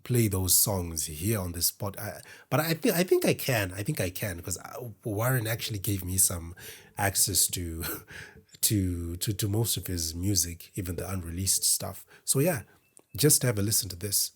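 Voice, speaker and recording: A man from Zimbabwe with a deep voice, talking to camera in a casual, meandering, self-deprecating way as host of his own show. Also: animated, moderate pace, husky. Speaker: podcast host. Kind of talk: casual solo talk to camera. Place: indoors in front of a camera.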